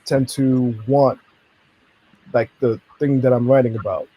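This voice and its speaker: A man's voice: medium pitch, steady tone, unhurried, lo-fi sound. A man guest on a podcast, talking casually about his comics in a friendly, easygoing way. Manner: friendly, easygoing, laughs often.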